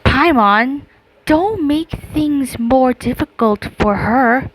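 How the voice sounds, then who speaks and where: A woman streaming a game, reading dialogue aloud and commenting casually in a halting way. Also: high-pitched, animated, a little hiss or hum, low-quality recording. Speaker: gameplay streamer.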